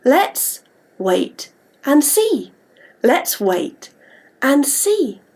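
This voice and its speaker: A British woman voicing a gentle young girl in a folk tale, pleading in a drawn-out singsong. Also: sits high, very animated, exaggerated voice.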